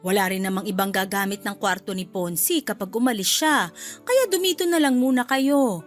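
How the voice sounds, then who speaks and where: A woman acting a warm, hospitable middle-aged mother in a Filipino radio drama, motherly and gently insistent. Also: mid-range voice, moderate pace, faint music behind, natural voice. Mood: insistent, persuasive. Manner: motherly, persuasive.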